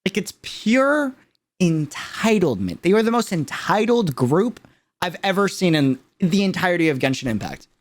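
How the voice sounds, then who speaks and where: A man's voice: sits high, very animated, moderate pace. A man giving a casual livestream reaction in an emphatic, slangy way, repeating phrases to drive them home. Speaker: streamer reacting to a game character trailer.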